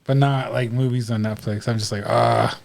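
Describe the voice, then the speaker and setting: A man's voice: medium pitch, fairly even intonation, moderate pace, creaky. A man chatting casually and jokingly, with slangy, profane wording. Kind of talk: casual chat.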